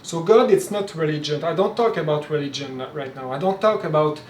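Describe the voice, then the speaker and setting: A man from Quebec, a native French speaker with a Québécois French accent, gives an informal spoken lecture with halting speech, many fillers and restarts. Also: a little high, slight background noise. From Quebec, Canada. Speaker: spiritual teacher. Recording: roomy echo, mic at a distance, faint ambience.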